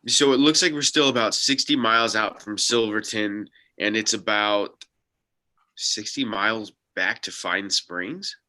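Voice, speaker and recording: A man's voice: lowish voice, measured pace, sharp tone. A man playing a skeptical, practical young sidekick in a script read, with a wry, casual way of talking. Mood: puzzled, wry. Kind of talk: table read of a TV pilot script.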